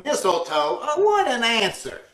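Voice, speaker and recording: A man acts out an indignant, scheming husband from an old radio comedy. His bright, sharp voice blusters and exclaims, tripping over words. It sounds roomy and distant, as on a home video call. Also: extremely high pitch, wildly swooping pitch, measured pace, exaggerated voice. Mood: argumentative.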